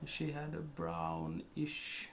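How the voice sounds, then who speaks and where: A Swedish-accented man talks slowly through a live painting tutorial, his voice heavily gravelly with fry. He hesitates a lot and fills the gaps with fillers, speaking casually and softly. Faint keyboard clicks and typing sit under his voice. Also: a little high, very animated, slight background noise. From Sweden. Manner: slow, hesitant narration with many fillers, softly chatty. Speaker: digital art tutor.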